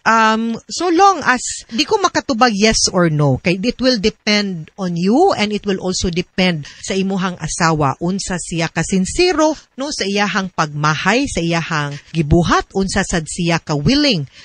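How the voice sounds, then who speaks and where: A woman's voice: medium pitch, big pitch swings, measured pace, no echo. A woman with a Cebuano Filipino accent, a radio advice host explaining things conversationally and often checking in with a short questioning tag.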